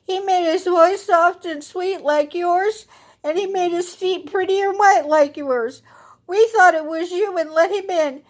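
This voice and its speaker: A woman's voice: very high-pitched, a little slow, pitched above the performer's own voice. A woman voicing young storybook goat kids in a childlike, earnest and emphatic way. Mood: tearful pleading.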